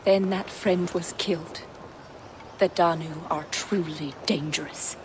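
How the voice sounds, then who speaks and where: A woman's voice: lowish voice, fairly even intonation, measured pace, lightly acted. Actress as a grave druid woman, knowing, faint background noise. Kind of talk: video game dialogue.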